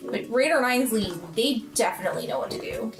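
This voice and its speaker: Woman playing an insistent junior high girl, high voice, bit far from mic, tabletop game. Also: expressive, fast, over music. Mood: puzzled, insistent.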